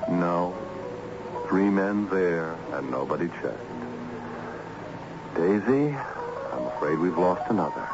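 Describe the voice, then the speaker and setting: An actor playing a glum, wry schoolteacher in a theatrical radio drama style. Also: male voice, low-pitched, lively intonation, slow, long pauses. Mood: gloomy. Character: glum, wry male schoolteacher drawn into a search. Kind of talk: American radio drama acting. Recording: muffled old radio recording with music.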